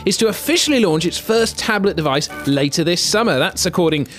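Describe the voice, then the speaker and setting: A man hosting a casual tech podcast, chatting with co-hosts and reading news items in a brisk presenter tone. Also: sits high, animated, a bit bright, faint music behind. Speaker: podcast host.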